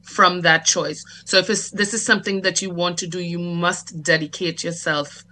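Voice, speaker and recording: A woman from Grenada with a Grenadian accent, a guest telling her life story in an interview with a measured, presentational way of speaking. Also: on the low side, fairly even intonation, pushed and bright, slight background noise. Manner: articulate, measured, presentational delivery. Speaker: guest, dancer and performer.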